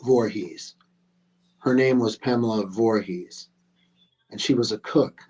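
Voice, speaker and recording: A man's voice: fairly low pitch, slow pace, a little mellow, dull audio. A man playing a young camp counselor casually telling a murder legend in a live horror script read. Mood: casual, eerie. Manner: casual, teasing storyteller.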